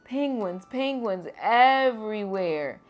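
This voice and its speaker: A woman reading a children's picture book aloud to kids in a gentle, expressive storytime manner. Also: medium pitch, slow pace. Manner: gentle, expressive, lively on exclamations. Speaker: storytime reader.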